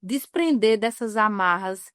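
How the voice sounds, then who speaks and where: A woman journalist from Northeast Brazil talks in an earnest, reflective way and stretches out the words that matter. Her voice is smooth and clear. The sound is dry and close. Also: mid-range voice, expressive, a bit bright, dry recording. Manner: earnest, slows down on key words. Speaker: journalist guest. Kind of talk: reflective interview talk.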